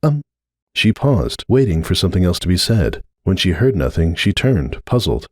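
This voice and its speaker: A male narrator giving a dramatic reading of a story. His voice is on the low side with highly varied intonation, recorded very dry. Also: big pitch swings, normal speed, breathy edge, no room sound. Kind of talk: dramatic audiobook narration of fan fiction.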